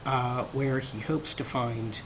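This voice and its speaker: A man gives chatty, wry gameplay commentary with a showman's flair. His voice sits at a middle pitch, with clearly audible noisy game ambience underneath. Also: fairly even intonation, takes it slowly. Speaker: host of a video game let's play.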